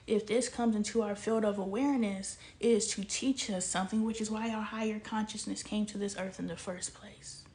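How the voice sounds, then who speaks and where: A woman's voice: medium pitch, darkish tone. Passionate woman from Texas, musing aloud. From El Paso, Texas. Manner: passionate, rhetorical questions, drops to near-whisper at times. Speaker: vlogger. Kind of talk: casual spiritual commentary monologue.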